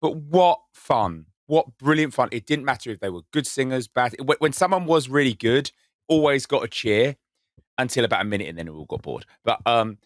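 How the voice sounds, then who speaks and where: A man's voice: mid-range voice, no echo, studio quality. A man co-hosting a casual podcast, telling stories in an enthusiastic, self-mocking way. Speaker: podcast co-host. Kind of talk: casual chat.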